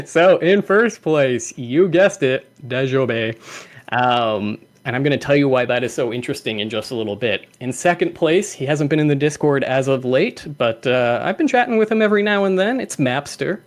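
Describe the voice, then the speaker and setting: A man's voice: mid-range voice, expressive, normal speed. A young man hosting a livestreamed community call, reading out names and laughing often. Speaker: host.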